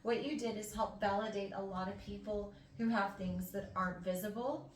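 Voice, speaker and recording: A middle-aged woman hosting a casual chat, asking questions in a gentle, reassuring way. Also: mid-range voice, steady tone, normal speed. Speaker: interviewer and host. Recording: roomy, distant mic with faint hiss.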